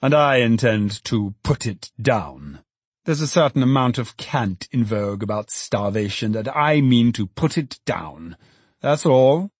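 A man's voice: medium pitch, animated, unhurried, breathy edge, dry recording. A man voicing a hearty, condescending middle-aged alderman in a storybook reading, jovial and patronizing.

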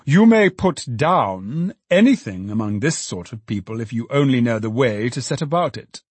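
A man plays a smug, jovial alderman who talks down to working folk, bouncing his voice up and down. The tone is a little husky, close and dry. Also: mid-range voice, big pitch swings, moderate pace, a little mellow, no room sound. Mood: patronizing. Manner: jovial, patronizing, chuckling. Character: hearty, condescending middle-aged male alderman.

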